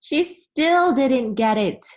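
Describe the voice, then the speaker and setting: A woman reading a children's story aloud as an expressive narrator. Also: high voice, takes it slowly.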